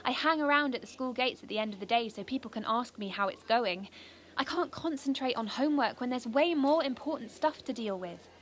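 A woman's voice: fairly high pitch, expressive, a little fast, close to an everyday voice. A British woman playing an earnest, driven teenage schoolgirl activist, confiding in the listener in an audio drama monologue. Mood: earnest, determined.

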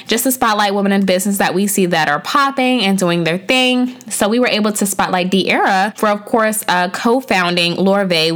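A young woman hosts her podcast alone, talking straight to her listeners with casual slang and upbeat energy. Her voice sounds a little stuffy and sore from a cold, and she talks at an ordinary middle pitch for a woman. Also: a bit bright. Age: young adult. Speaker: podcast host. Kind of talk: solo podcast talk.

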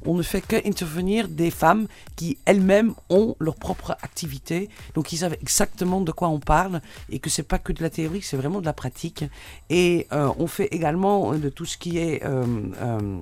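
Dutch-accented woman on a radio interview, gravelly, lots of little pauses while she explains. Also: lowish voice. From Netherlands. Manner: steady explaining with frequent hesitations. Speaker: radio interview guest, network founder. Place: radio studio.

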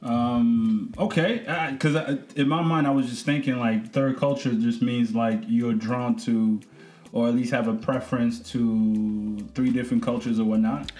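A man hosting a casual interview, relaxed and conversational, with frequent hesitations. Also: mid-range voice, unhurried, faint music behind. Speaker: interview host.

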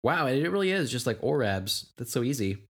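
A man's voice: mid-range voice, a little fast, husky. A man co-hosting a podcast in loose, joking chat, laughing often as he talks. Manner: joking, laughs often, lively rises and falls. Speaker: podcast co-host.

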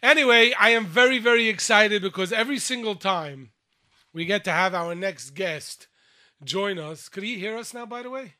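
A man hosting a radio show from a studio, talking excitedly with lots of fillers and restarts. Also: sits high, moderate pace. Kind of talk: lively radio chat.

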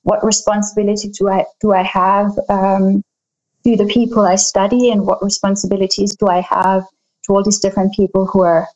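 A woman's voice: medium pitch, flat delivery, brisk, darkish tone, poor audio. Hesitant woman researcher on a panel. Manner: thoughtful, hesitant with many fillers. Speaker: panel guest, field researcher. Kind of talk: panel discussion answer.

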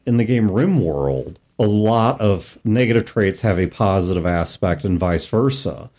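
A man's voice: lowish voice, expressive, measured pace, darkish tone. A man hosting a podcast, chatting casually about games. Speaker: podcast host. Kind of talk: casual discussion of role-playing games.

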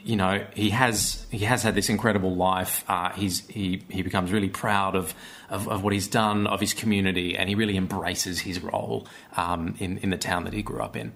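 A man explaining things conversationally as an interview guest, thoughtful and often pausing mid-phrase. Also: deep voice, steady tone, creaky, fairly bright tone. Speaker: podcast guest, theatre maker.

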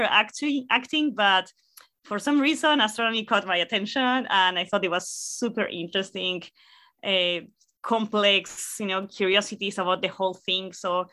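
Female guest scientist, 30s, Chilean accent, bright tone, reflective chatty delivery. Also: mid-range voice. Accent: Chilean Spanish. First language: Spanish. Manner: warm, reflective storytelling with fillers. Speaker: guest astrophysicist. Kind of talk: casual interview answers.

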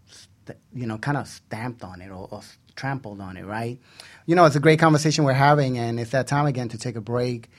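A man hosting a casual radio discussion, talking in an earnest, conversational way. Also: fairly low pitch. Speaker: radio show host.

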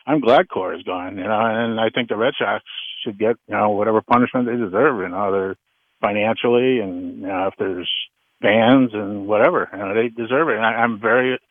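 New england guy calling into sports radio, tinny phone line, lots of you know and restarts, lowish voice. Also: no echo. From Connecticut. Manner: halting, many fillers and restarts. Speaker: caller. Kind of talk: call-in radio chat. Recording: phone-line caller audio.

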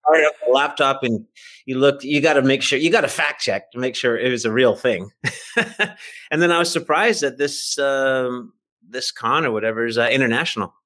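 A man's voice: medium pitch, normal speed, a bit bright. A middle-aged or older man chatting as a podcast guest, telling anecdotes in an informal, self-deprecating way. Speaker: podcast guest, fiction writer. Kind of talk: casual anecdotal chat.

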